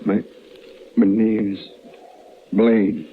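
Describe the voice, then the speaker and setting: Dying American cowboy, lowish voice, gasping, broken phrases, radio western, noisy vintage recording. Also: male voice, level tone, extremely slow, narrow, tinny audio. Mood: weak, pained. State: gravely hurt, gasping, struggling to speak. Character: badly wounded cowboy, weak and fading. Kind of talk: old-time radio western acting.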